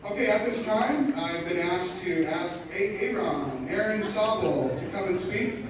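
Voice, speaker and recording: High-pitched male emcee, upbeat, distant in an echoey hall over a clear crowd. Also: lively intonation, unhurried. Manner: upbeat, calling for applause. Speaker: master of ceremonies. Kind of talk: event announcing. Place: large event hall.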